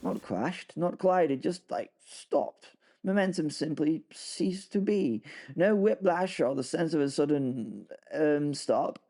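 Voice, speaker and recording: A man narrating a comic audio drama in the first person, halting, wry and theatrically exasperated. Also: a little high, animated, warm tone.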